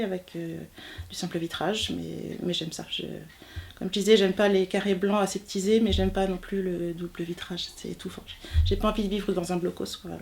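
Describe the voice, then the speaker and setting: A woman's voice: mid-range voice, creaky, darkish tone. A woman in her forties from Normandy, France, telling a personal story informally, often searching for words. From southern Manche, Normandy, France. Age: 40s. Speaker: interviewee, textile artist.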